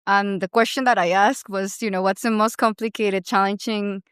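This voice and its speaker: A woman's voice: mid-range voice, steady tone, moderate pace, fairly bright tone, clean tone, dry recording, clean studio sound. A woman in her thirties with a Colombian accent, a doctor and founder chatting as a podcast guest, filling her talk with "you know" and "like". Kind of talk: casual interview conversation.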